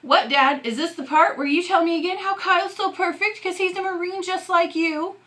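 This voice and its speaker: A storybook teenage boy, acted out by a woman, defiant and sarcastic. The delivery is challenging and sharp-edged, with a bright, forceful tone. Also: extremely high pitch, moderate pace, pitched above the performer's own voice. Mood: angry challenge. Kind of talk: storybook character dialogue.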